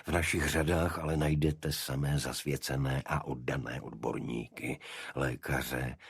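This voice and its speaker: A man voicing a small, dignified aristocratic cult leader in an audiobook, with a deep voice and a monotone, chant-like delivery. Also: vocal fry, slightly rough, no echo, clean studio sound, exaggerated voice. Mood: proud, pleased. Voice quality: unexpectedly deep. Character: small energetic nobleman, cult leader, dignified, secretive zealot.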